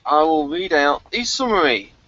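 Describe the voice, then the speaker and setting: A man hosting a review show in casual chat, excitable and prone to chuckling as he talks. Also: fairly high pitch, moderate pace, no echo. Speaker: podcast host of a TV review show. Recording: dull, lo-fi, dry recording.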